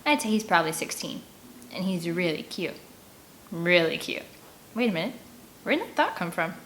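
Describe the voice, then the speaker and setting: A woman narrating a first-person story in an animated, expressive read-aloud manner. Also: lowish voice, moderate pace. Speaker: audiobook reader. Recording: clear background ambient noise.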